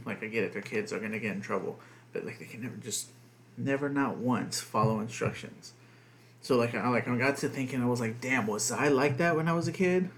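A young man, a dad who grew up speaking Spanish first, chatting loosely and cursing a lot on a podcast. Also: mid-range voice. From United States. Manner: profane, rambling, heavy on fillers. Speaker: podcast co-host. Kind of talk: casual podcast chat.

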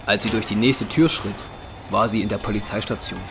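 Low-pitched man narrating over music. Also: steady tone, a little fast, creaky. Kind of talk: scripted horror audio drama narration.

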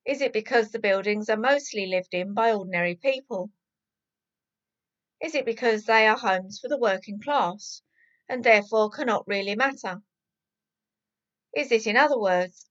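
A woman reads a newspaper opinion piece aloud as a volunteer. Her voice sits at an average female pitch. The home recording sounds dull and lo-fi. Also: a bit bright. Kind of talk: reading an opinion article aloud.

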